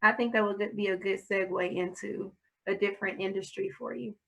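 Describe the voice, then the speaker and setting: A woman hosts a podcast over a video call, wrapping up an interview in a casual tone. She is encouraging and talkative and sometimes stumbles over her wording. Her pitch is ordinary for a woman. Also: steady tone, moderate pace, creaky, bright, forceful tone. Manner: encouraging, chatty, fumbles phrasing. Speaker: podcast host.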